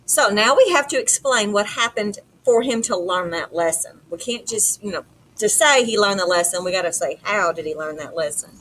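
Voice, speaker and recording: A woman's voice: mid-range voice, expressive, normal speed, fairly bright tone, rough recording. A middle-aged woman teaching a lesson in an informal, explanatory, conversational way. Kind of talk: conversational lesson walk-through. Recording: clear background noise.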